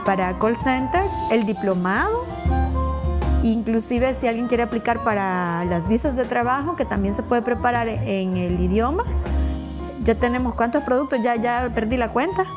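Salvadoran woman interviewer, high voice. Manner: friendly, conversational, recapping. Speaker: interviewer, business consultant. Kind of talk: casual interview. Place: small classroom, indoors. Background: soft background music.

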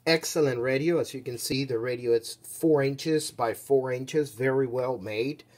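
A man presenting an unscripted product demonstration as a seller, explaining each step casually with a halting, instructional delivery. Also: mid-range voice, darkish tone.

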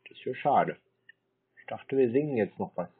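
A man on a casual prank call, teasing and playing slow on the uptake. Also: medium pitch, lively intonation, a little hiss or hum. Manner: teasing, feigned slow-wittedness. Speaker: scam-baiting call recipient. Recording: muffled narrow phone-like sound.

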